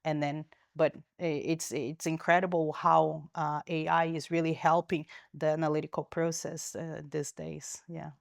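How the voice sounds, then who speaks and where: Woman, low voice, smooth and clear, dry close sound. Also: level tone, moderate pace, few pauses, no room sound. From Brazil. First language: Portuguese. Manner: thoughtful, frequent restarts and fillers. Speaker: company founder and guest. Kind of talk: interview answers.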